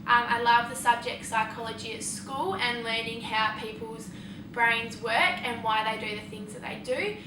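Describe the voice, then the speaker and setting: A woman's voice: fairly high pitch, moderate pace. A young woman in her late teens with an Australian accent, answering casually as a student panellist. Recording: echoey noisy room, distant mic.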